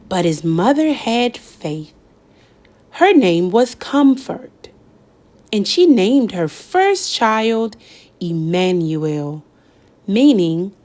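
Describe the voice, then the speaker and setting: Woman reading a kids' picture book out loud, slow and dramatic, pitch swings all over. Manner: slow, lively storytelling with dramatic pauses. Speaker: read-aloud narrator. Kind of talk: expressive picture-book read-aloud.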